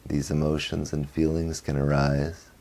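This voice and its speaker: A man guiding a meditation in a very low, mellow voice. He speaks slowly and flatly, with little rise and fall, in short phrases. Long pauses separate them. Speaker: meditation teacher. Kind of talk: guided meditation.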